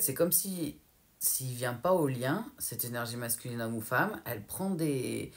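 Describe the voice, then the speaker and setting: A woman from France giving a casual, rambling tarot guidance reading and hesitating often as she relays what her guides show her. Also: very deep voice. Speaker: tarot card reader.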